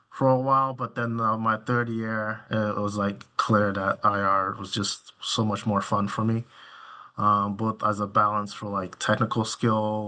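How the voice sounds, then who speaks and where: A man in his thirties from Nigeria, a physician giving informal interview answers in a halting, colloquial way full of fillers. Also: fairly low pitch, bright, forceful tone. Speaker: physician, podcast guest.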